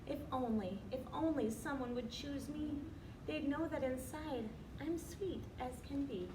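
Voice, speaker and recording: A wistful, envious plump cookie from a storybook, acted out by a woman, laments in a high sing-song. The sound is roomy and distant, with clear noise. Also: unhurried. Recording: roomy, distant, noisy recording.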